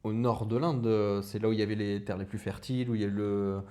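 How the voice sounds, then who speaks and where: Low-voiced hesitant male interviewer. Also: steady tone, fast talker, mellow. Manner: hesitant, many fillers and restarts while asking. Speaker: host, interviewer. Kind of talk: casual interview talk.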